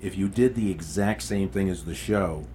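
Man, podcast host, lowish voice, rambling casual delivery, faint hiss behind. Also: normal speed, warm tone. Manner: loose, chatty, with frequent restarts and fillers. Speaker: podcast host interviewing a musical cast. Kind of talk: casual interview chat.

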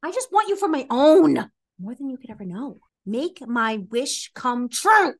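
A woman's voice: high-pitched, very animated, measured pace, husky. A woman doing playful, theatrical dramatic readings with an exaggerated, melodramatic delivery as a podcast co-host.

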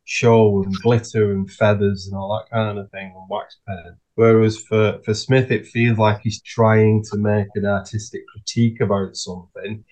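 A man's voice: fairly low pitch, little pitch movement, normal speed, few pauses, a little mellow, rough recording. A man in a casual music discussion, thinking aloud and often ending his points with tag questions. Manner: tentative, musing, often seeking agreement with tag questions. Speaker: podcast panellist.

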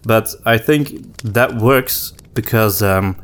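A man co-hosting a podcast in casual conversation, with hesitant, halting phrasing and repeated words. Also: fairly low pitch, a bit bright. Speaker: podcast co-host and story writer.

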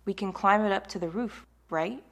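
A woman's voice: medium pitch, moderate pace, fairly bright tone, lightly acted. A woman playing a practical, matter-of-fact leader of zombie survivors in an amateur audio drama. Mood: taking charge.

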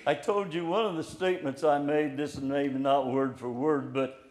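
An older man preaching an informal, encouraging sermon in a slow, plainspoken way. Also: on the high side, steady tone, darkish tone. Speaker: pastor. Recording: echoey room, hall reverb.

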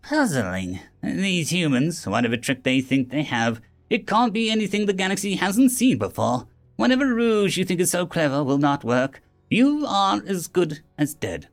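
Man as arrogant alien commander, swooping raised pitch. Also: very animated. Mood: menacing contempt. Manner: contemptuous, deliberate threats. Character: arrogant, menacing alien enemy fleet commander. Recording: music behind the voice.

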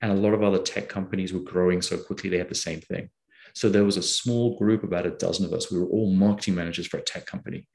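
Low gravelly man telling stories. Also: quick pace, poor audio. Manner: animated storyteller, acts out quoted dialogue. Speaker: guest. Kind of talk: casual storytelling interview.

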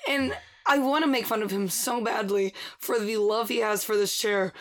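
Raspy fast-talking woman podcast host. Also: mid-range voice, fairly even intonation. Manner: fast-talking, filler-heavy. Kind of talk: casual chat and read ad.

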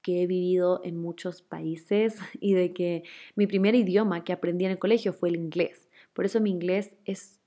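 A woman's voice: fairly low pitch, fairly even intonation, moderate pace, dark tone. A young woman with a Guayaquil accent, chatting casually as a host and answering listener questions, with frequent fillers and laughs. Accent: Ecuadorian, Guayaquil. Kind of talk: casual chat.

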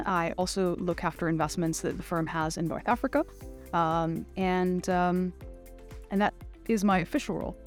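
Low-pitched middle-aged woman, interview guest. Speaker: interview guest, finance executive. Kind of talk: conversational interview answers.

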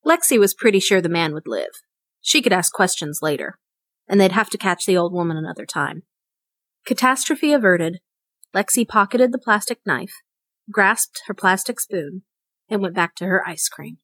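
A woman's voice: medium pitch, animated, moderate pace, a bit bright, no room sound. Woman reading a short story, dry close sound. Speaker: story reader. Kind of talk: scripted short story narration.

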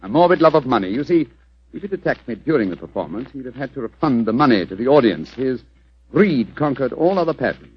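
An actor playing a shrewd, urbane British gentleman detective in 1940s radio drama style, with precise theatrical phrasing. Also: medium pitch, very animated, fast, husky. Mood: brisk, explanatory. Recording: dull lo-fi vintage radio recording.

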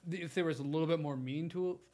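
A man's voice: a little high, racing, dark tone. A man co-hosting a podcast in casual, conversational banter. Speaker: podcast co-host.

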